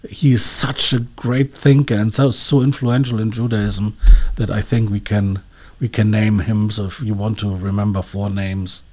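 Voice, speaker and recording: Low-pitched, hesitant academic man. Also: flat delivery, moderate pace, few pauses, darkish tone. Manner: hesitant, searching for words, academic. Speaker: expert guest, professor. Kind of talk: scholarly interview explanation.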